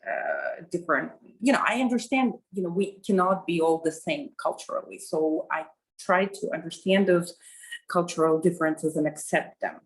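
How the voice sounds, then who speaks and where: Middle-aged woman, lowish voice, lots of ums and you knows. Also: big pitch swings, normal speed, rough recording. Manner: hesitant, many fillers and restarts. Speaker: interviewee. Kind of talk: conversational interview answer.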